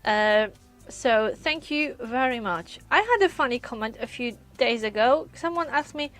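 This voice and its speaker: A woman with a Polish accent chatting casually on a live stream, often pausing with hesitations as she answers viewers. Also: fairly high pitch, lively intonation, a bit bright, soft background music. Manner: chatty, many hesitations, reads viewer comments aloud. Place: lives in a caravan on a UK campsite.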